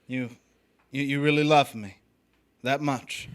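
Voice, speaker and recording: A man playing a hesitant, smitten drifter in a live radio-style drama, with halting, uncertain delivery. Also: mid-range voice, steady tone, clear, resonant tone, close to an everyday voice. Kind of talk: live stage radio-play acting.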